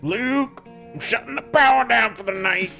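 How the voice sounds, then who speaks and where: A man playing a gruff, irritable farmer uncle in an amateur table read of a film script. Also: very high-pitched, moderate pace, pushed and bright, soft background music, full character voice. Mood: yelling, impatient.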